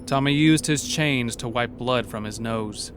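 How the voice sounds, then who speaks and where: A man's voice: mid-range voice, unhurried, noisy background. A man narrating a scripted horror story as the narrator of an audio drama.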